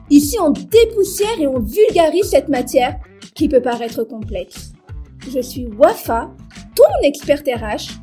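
High-voiced woman podcast host. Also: warm tone. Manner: enthusiastic, friendly, direct address. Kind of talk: podcast hosting and interview.

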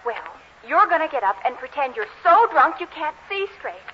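A woman playing a brisk, decisive, scheming young woman in a 1940s radio sitcom. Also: sits high, very animated. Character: bossy, scheming, quick-witted young woman. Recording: muffled, faintly noisy 1940s radio recording.

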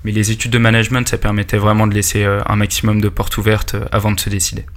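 A man in his mid-twenties from Paris answering interview questions conversationally, with frequent hesitant pauses. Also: fairly low pitch, completely flat delivery. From Paris, France. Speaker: podcast guest, company player-support employee. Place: company meeting room.

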